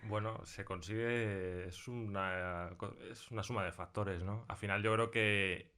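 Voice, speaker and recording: A man's voice: fairly low pitch, fairly even intonation, measured pace, bright, forceful tone, clean tone. A man with an accent from Spain, speaking conversationally in an interview as a sound designer, in colloquial language. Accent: Spanish from Spain. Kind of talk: conversational interview, explaining his craft. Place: recording studio.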